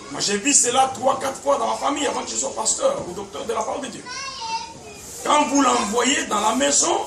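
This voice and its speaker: High-voiced male preacher, echoey and distant. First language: a language other than French. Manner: emphatic, repeats words, chuckles often. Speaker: pastor. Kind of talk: preaching teaching sermon. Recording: echoey, distant mic, background noise.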